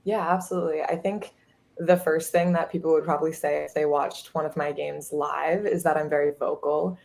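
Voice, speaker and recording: A woman's voice: sits low, steady tone, fairly quick, creaky, darkish tone. A woman in her twenties, a college athlete, chatting upbeat in a podcast interview with many fillers. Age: 20s. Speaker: guest, college goalkeeper.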